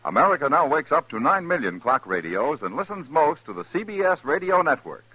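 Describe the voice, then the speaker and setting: A man announcing in a formal, measured and emphatic 1950s radio style. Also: on the high side, very animated, pushed and bright. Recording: muffled vintage radio, music behind.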